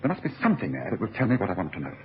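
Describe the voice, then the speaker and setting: A shrewd gentleman detective for a radio play. He talks quickly and precisely, with lively swings of pitch and a commanding manner. The recording is dull with faint noise. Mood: deliberating. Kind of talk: radio drama acting. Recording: muffled, slightly noisy old radio recording.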